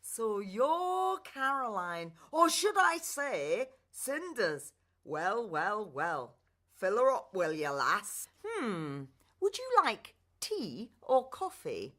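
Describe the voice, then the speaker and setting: A woman's voice: medium pitch, big pitch swings, takes it slowly, sharp tone, heavily acted. A woman voicing a tipsy, muddled fairy godmother with a Northern English accent in a children's radio play. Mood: nosy, cheeky. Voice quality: slurred, hiccuping delivery. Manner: rambling, folksy, familiar.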